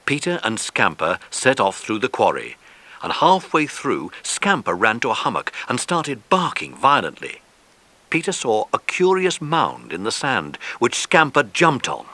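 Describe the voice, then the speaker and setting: A man's voice: mid-range voice, wildly swooping pitch, unhurried, bright, forceful tone, no room sound. A man narrating a scripted children's story. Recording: faintly noisy cassette transfer.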